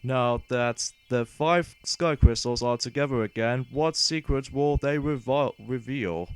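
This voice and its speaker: A man giving casual, rambling, halting game commentary as a let's play host. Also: medium pitch, smooth. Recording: game music behind. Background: video game music.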